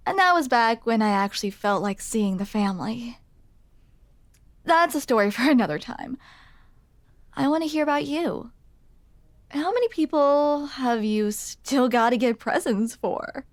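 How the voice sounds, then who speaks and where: A woman's voice: on the high side, no room sound. A woman playing a grumpy but smitten barista in an intimate ASMR roleplay, hesitant, teasing and self-deprecating, close to the microphone. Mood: curious, wry. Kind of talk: ASMR roleplay, intimate one-sided conversation. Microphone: close microphone, dry.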